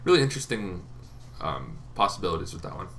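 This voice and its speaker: A man hosting a tabletop gaming channel, explaining casually off the cuff in an informal, halting way, as if thinking aloud. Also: deep voice, sing-song, a bit bright. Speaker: host of a tabletop roleplaying lore channel. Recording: noticeable background noise.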